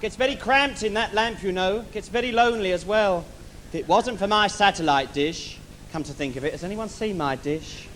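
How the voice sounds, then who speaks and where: An actor playing a camp, bawdy, grumbling genie of the lamp in an adult stage pantomime, with a theatrical, chatty manner. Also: male voice, high voice, moderate pace, fairly bright tone. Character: camp, bawdy, self-styled butch male genie of the lamp. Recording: live theatre stage, background noise.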